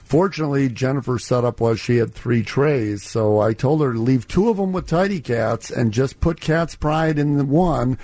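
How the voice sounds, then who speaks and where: A man with a mid-pitched voice and very dry, close sound speaks as an executive guest on a radio show. He explains and pitches his product conversationally, like a practiced salesman. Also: normal speed, few pauses, warm tone, no echo.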